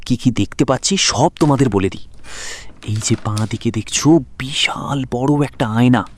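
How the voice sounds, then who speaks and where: Animated young ghost-hunting livestreamer, acted by a man. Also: medium pitch. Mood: bravado, curious. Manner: chatty, hesitant, addresses viewers directly. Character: cocky young male ghost-hunting livestreamer, bravado cracking into fear. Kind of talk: acted found-footage livestream monologue. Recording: background music, some ambient noise.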